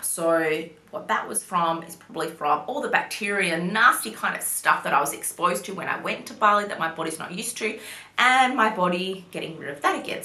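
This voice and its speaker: Bright-voiced chatty woman. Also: lowish voice. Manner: chatty, full of "like" fillers, apologetic. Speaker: vlogger. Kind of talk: casual chat to camera. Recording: roomy echo.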